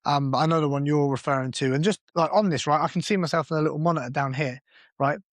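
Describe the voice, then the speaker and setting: A man's voice: a little high, fast, no echo. Blunt man, podcast co-host. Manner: blunt and swearing, self-deprecating. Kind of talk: casual podcast chat.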